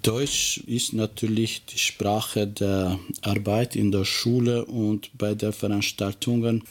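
A middle-aged man with an Albanian accent answers interview questions in German. His low voice stays flat and even, and he speaks slowly, stopping often to find the next word. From Kosovo. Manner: slow, halting, searching for words. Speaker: teacher.

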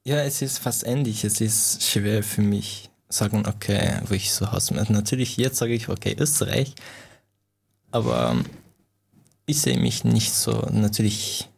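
A young man from Afghanistan who grew up in Iran, a native Farsi speaker, answering interview questions in halting speech with many restarts. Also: fairly low pitch, lively intonation, gravelly, slightly rough. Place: Austria.

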